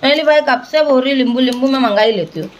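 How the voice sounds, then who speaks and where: A woman's voice: high voice, racing, roomy sound, far from the mic, a little hiss or hum. A young woman chatting casually to her viewers in a home vlog, talking through her shopping and cooking at home. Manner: chatty, talks to her baby in a sing-song coaxing way. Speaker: family vlogger. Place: home kitchen.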